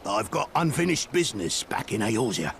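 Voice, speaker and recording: A man playing a surly, impatient soldier in a video game, grumbling gruffly about reluctant service. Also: lowish voice, very animated, moderate pace. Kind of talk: dramatic video game voice acting. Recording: clear background noise.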